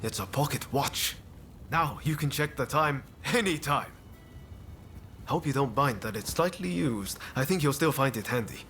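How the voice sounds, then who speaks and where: An actor playing an easygoing, friendly samurai-era companion in a video game, talking in a casual, chummy way. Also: male voice, medium pitch, lively intonation, normal speed, a bit bright, faint music behind. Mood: friendly, upbeat. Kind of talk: video game cutscene acting.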